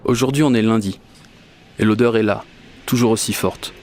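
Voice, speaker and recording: A man's voice: fairly low pitch, steady tone, moderate pace, darkish tone, slight background noise, lightly acted. A man playing an obsessive, whimsical dreamer in a first-person radio fiction monologue, speaking in a confiding, colloquial, self-mocking way. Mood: confiding, determined. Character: obsessive, whimsical Parisian man confiding a magical commuting quest. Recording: urban and train ambience behind voice.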